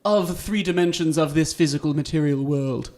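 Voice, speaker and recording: A man voicing a small eager animal actor, earnest, formal talk, high voice. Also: darkish tone, natural voice. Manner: earnest, formal wording, quick laughs. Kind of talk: improvised comedy podcast.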